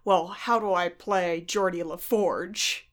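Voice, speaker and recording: A woman's voice: fairly low pitch, fairly even intonation, slow. A woman co-hosting a casual podcast chat about tabletop games, chatty, acting out imagined players and using many fillers.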